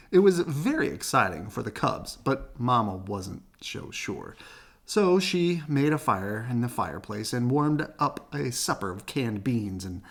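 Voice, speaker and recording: A man reading a children's picture book aloud in an animated storytelling style. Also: medium pitch, gravelly. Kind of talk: animated read-aloud narration.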